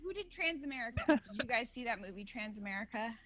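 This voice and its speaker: A woman's voice: fairly high pitch, lively intonation, fast, crowd noise. A woman guest on a podcast, telling stories in loose, rambling talk full of fillers and restarts. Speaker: guest, cosplay face model. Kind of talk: casual podcast chat.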